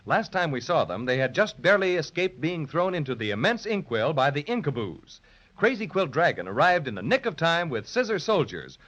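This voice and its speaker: A man narrating a children's adventure serial as a theatrical 1930s radio storyteller. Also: on the high side. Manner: animated, theatrical. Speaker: narrator, announcer. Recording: muffled 1930s radio recording.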